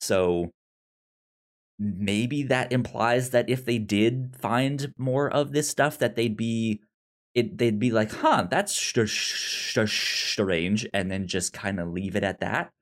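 A podcast co-host chatting casually about film in a halting way, often repeating and stammering on words. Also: medium pitch.

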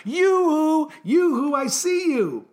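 A lonely, friendly little storybook creature, put on by a man reading aloud. The voice is high and bright, leaping about in a plaintive, childlike sing-song. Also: huge pitch swings, unhurried, full character voice. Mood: friendly, cooing.

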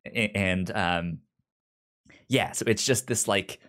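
A man's voice: fairly low pitch, slow pace, a bit bright. A man co-hosting a podcast in casual chat, often stammering and repeating sounds as he explains. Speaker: podcast co-host. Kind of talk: casual chat reviewing a comic book.

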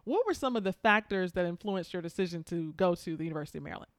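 A woman's voice: fairly low pitch, animated, very fast, no room sound. A woman hosting a podcast interview, talkative and upbeat, who laughs easily. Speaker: podcast host. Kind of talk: casual interview chat.

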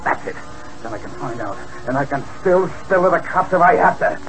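A man's voice: a little high, expressive, a little fast, fairly bright tone, soft background music, low-quality recording. A slangy tough-guy gangster. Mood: scheming. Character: tough streetwise male gangster henchman, boastful. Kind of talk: 1940s radio acting. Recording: hissy old radio broadcast.

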